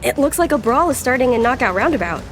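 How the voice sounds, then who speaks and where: A young cartoon cabin boy voiced by a woman, polite and a little nervous, with a highish pitch. The manner is earnest and deferential, that of a loyal mate speaking to a captain. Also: expressive, fast talker, music in the background, lightly acted. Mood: alert.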